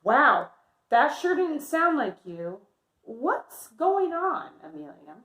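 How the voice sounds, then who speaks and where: A woman voicing a calm, concerned, encouraging mother from a storybook, gently questioning in drawn-out phrases. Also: high-pitched, full character voice. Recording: roomy, echoey indoor sound.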